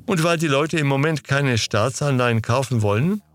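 A man answering interview questions in a steady, lecturing manner. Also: medium pitch, normal speed. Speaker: interview guest.

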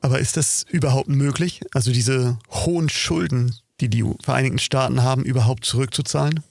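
A man's voice: mid-range voice, fairly even intonation, a little fast, gravelly, darkish tone, no room sound, studio quality. A male interviewer asking short, probing questions.

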